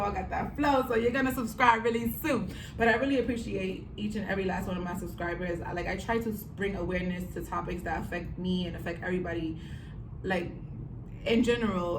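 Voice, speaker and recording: A woman in her late twenties vlogging straight to camera, earnest and pleading, often repeating words for emphasis. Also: mid-range voice. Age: 20s. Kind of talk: heartfelt direct-to-camera vlog. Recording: echoey room, voice a bit far from mic, noisy.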